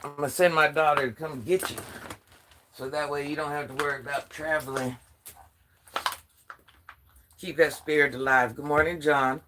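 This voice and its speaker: Older woman, deep and forceful voice, stuffy from allergies, chatty livestream host. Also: fairly even intonation, moderate pace, long pauses, slight background noise. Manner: chatty, talks straight to viewers. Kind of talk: casual livestream chat.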